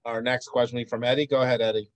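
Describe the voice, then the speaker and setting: A man with a medium-pitched voice moderates a press conference. He sounds dry, with no room around him, as he hands out questions. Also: flat delivery, very fast, dry recording.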